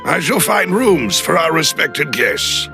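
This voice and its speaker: An actor voicing a formal, dutiful butler-like retainer in a video game, courtly and deferential. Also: male voice, fairly high pitch, sing-song, slow pace, gravelly, sharp tone, in a large hall, faint music behind, full character voice. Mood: dutiful, courteous. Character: formal, dutiful male butler-like retainer, middle-aged or older. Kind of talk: video game cutscene voice acting.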